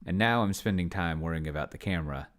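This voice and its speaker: A middle-aged man thinking aloud in casual commentary while playing a tabletop game at home, halting and muttering to himself as he goes. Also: low voice. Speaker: host of a solo roleplaying video. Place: at home, at a computer.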